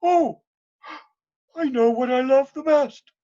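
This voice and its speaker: Folktale simpleton, young male villager played by a man: dim, earnest, halting, emotional; slightly lo-fi sound. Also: extremely high pitch, warm tone, pitched above the performer's own voice. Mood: excited. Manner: halting, stammering, naive.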